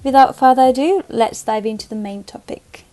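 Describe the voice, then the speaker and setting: A woman in her twenties from Japan, a native Japanese speaker with a Japanese accent, presenting a scripted explainer as a language vlogger in simple, deliberate, slightly non-native phrasing. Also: on the high side, mellow, soft background music. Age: 20s.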